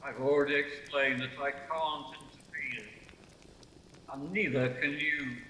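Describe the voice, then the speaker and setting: A man's voice: mid-range voice, fairly even intonation, measured pace, bright, forceful tone. A man playing a dry, patient but exasperated spirit in an amateur radio play. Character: weary, matter-of-fact Christmas ghost, gently exasperated. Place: at home, recorded over video call. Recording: echoey, faint noise, video call.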